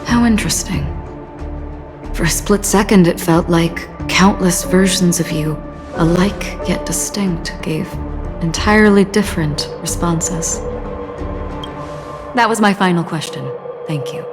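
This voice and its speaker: A woman playing a solemn, enigmatic wanderer in a video game, measured and melancholy in manner. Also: fairly low pitch, over music, close to an everyday voice. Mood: wistful.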